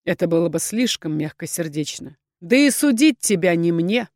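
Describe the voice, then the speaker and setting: A woman's voice: lowish voice, expressive, moderate pace, darkish tone, dry recording. A merciless male duke and rector from a fantasy audiobook, voiced by a female narrator. He speaks with cold scorn and stern command, like an interrogator, in a close, dry recording. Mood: grim command.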